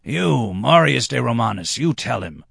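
A man voicing a drunken, boastful, impish nobleman who is quick to anger and speaks in emphatic declamation. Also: medium pitch, expressive, creaky, fairly bright tone, breathy edge, no room sound, clean studio sound, pitched above the performer's own voice, exaggerated voice. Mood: angry, insistent.

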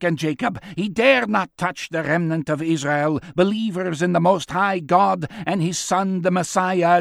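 A man voicing an old prophet who proclaims scripture in a booming, righteous, defiant voice. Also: vocal fry, no echo, studio quality. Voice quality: huge, deep, piercing, booming. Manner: slow solemn proclaiming of scripture.

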